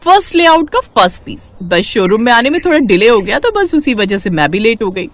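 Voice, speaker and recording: Woman acting a high-pitched spoiled rich girl. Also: very animated, a little fast, a bit bright, no room sound. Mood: haughty, condescending. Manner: snooty, English-sprinkled Hindi. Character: spoiled, haughty rich college-age daughter. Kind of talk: audio storybook acting.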